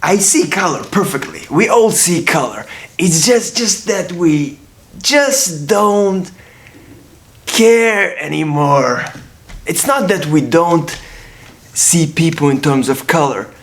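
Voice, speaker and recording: A man's voice: a little high, lively intonation, slow pace. A man in his twenties from Russia with a Brooklyn accent, talking casually to camera in a rambling way, repeating himself and restarting often. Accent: Brooklyn New York. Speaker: vlogger.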